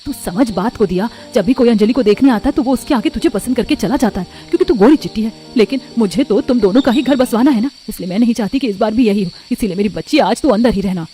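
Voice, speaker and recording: A woman's voice: on the high side, fast, few pauses, mellow, faint music behind, close to an everyday voice. A woman voicing a worried middle-aged mother in a cartoon story, speaking in a maternal, pleading way. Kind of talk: Hindi cartoon story voice acting.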